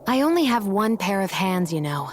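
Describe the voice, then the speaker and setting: A woman voicing an aloof, sharp-tongued young healer in a video game. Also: medium pitch, very animated, normal speed, faint music behind, natural voice. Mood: dry, aloof.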